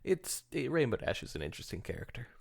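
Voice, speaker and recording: A man's voice: medium pitch, big pitch swings, fast talker, vocal fry, noisy background. A man co-hosting a podcast, giving casual, opinionated commentary. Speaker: podcast co-host.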